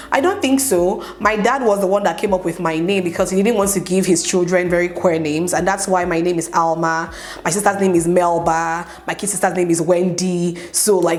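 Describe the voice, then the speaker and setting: A young Nigerian woman with a Nigerian accent, chatting casually as she answers viewer questions in a home vlog and often adds "okay?". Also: fairly low pitch. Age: young adult. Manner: chatty, emphatic, keeps adding "okay?". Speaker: vlogger. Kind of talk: get-to-know-me Q&A vlog.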